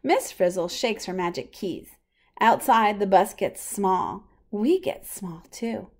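A woman reading a picture book aloud for young children in a simple, expressive way. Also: mid-range voice, takes it slowly. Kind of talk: children's storybook read-aloud.

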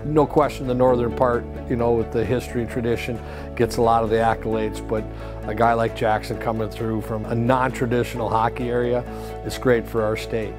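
A man's voice: mid-range voice, level tone, brisk. A middle-aged man speaking earnestly and emphatically, like a coach being interviewed. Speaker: hockey coach. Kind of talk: documentary interview. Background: guitar music bed.

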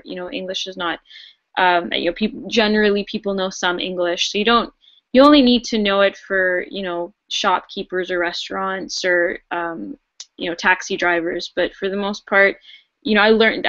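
A woman's voice: mid-range voice, a bit bright, no echo. A young woman answering a question on a video call, chatty and full of "you know" fillers. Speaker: program alumna. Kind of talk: casual Q&A answer. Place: room at home. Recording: dry dull video call audio.

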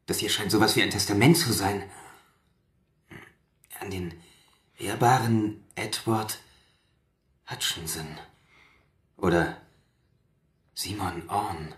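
An actor playing a secretive young scholar in a radio drama, reverently obsessed with his research, with a halting, hushed way of reading aloud. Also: fairly low pitch. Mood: hushed wonder. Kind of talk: German radio drama acting. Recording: roomy echo, faint noise, clicks.

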